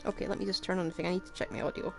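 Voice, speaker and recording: A woman's voice: fairly low pitch, little pitch movement, racing, vocal fry, darkish tone, faint music behind. A woman doing casual live game commentary as a streamer, with wry, offhand asides.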